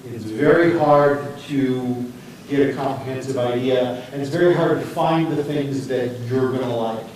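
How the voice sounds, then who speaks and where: A man speaking off the cuff in a panel discussion, haltingly, often searching for his words. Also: mid-range voice, a little mellow. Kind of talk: panel discussion, unscripted talk. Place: echoey room, recorded at a distance. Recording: very echoey room, distant mic, faint noise.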